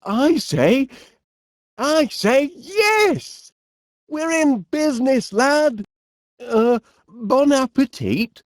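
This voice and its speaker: An actor playing a cheery, absent-minded middle-aged inventor with a Northern English accent, bumbling and chatty in an animated comedy game. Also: male voice, very high voice, drawn-out words, no room sound, studio quality, heavily acted. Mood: excited. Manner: bumbling, chatty, hesitant, mild exclamations.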